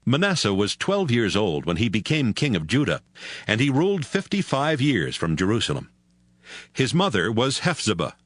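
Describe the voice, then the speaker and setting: Low, expressive male scripture narrator. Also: no echo. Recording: music bed behind narration.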